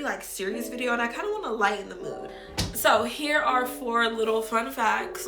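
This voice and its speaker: A woman's voice: on the high side, gravelly. A young woman from Texas, a content creator, chatting candidly to camera in a casual vlog and calling her viewers y'all. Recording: echoey room with music playing.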